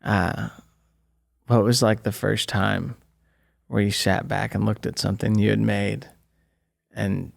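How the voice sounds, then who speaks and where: A man's voice: on the low side, flat delivery, a little slow, vocal fry, pauses often. A young man hosting a casual chat, hesitant and full of fillers, laughing often. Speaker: musician, host. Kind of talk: casual conversation.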